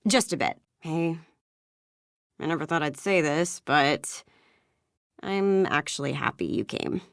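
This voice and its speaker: A woman's voice: low-pitched, lively intonation, unhurried, creaky, fairly bright tone, no echo, studio quality, full character voice. A woman playing a guarded, prickly young woman in a video game, curt and awkwardly candid. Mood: awkwardly sincere.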